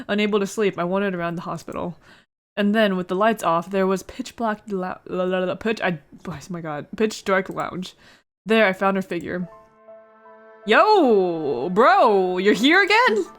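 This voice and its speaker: A woman's voice: medium pitch, fairly bright tone. A woman hosting a casual let's play, reading a visual novel aloud with playful asides and stumbles over words. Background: music.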